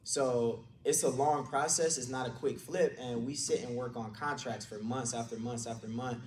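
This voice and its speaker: A man's voice: mid-range voice, fairly even intonation, normal speed, a little mellow. A man from Detroit with a Detroit American accent, telling his story colloquially in an informal motivational talk to an audience. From Detroit, USA. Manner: colloquial, anecdotal. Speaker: conference speaker, real estate agent. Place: indoor conference room, speaking on a stage. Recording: echoey room, distant mic, background noise.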